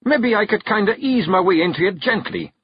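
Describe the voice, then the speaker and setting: A man's voice: high voice, expressive, a little fast, slight background noise, voice pushed up. A man voicing a small, stubborn Scottish fairy clan chief who growls and blusters, in a dull, dry recording. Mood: pleading.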